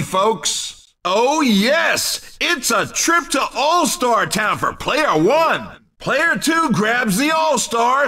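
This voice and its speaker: A man voicing an excitable, cheeky race commentator in a video game, with exclamatory, hammy and playful announcer delivery in short clips. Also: very high voice, far from the mic, exaggerated voice. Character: excitable, cheeky male arcade racing commentator.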